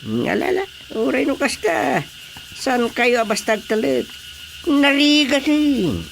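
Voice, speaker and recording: A woman's voice: sits high, sing-song, slow pace, gravelly, soft background music, heavily acted. A woman playing a talkative, teasing village character in a comedy radio drama, often exclaiming. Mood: concerned, chatty.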